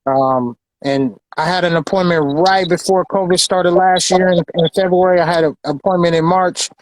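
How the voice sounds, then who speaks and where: American male collector, fairly high-pitched, chatty and informal, doubles back on phrases. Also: steady tone, moderate pace, no room sound, rough recording. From Los Angeles, United States. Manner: repeats phrases, informal slang. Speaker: sneaker collector and designer presenting his pairs. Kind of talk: casual show-and-tell chat.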